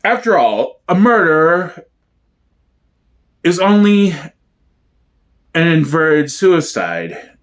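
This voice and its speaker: A man playing a halting, ponderous apologist who muses on a gangster in a comedy sketch. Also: on the high side, sing-song, full character voice. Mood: musing. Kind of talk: comedy sketch dramatic reading.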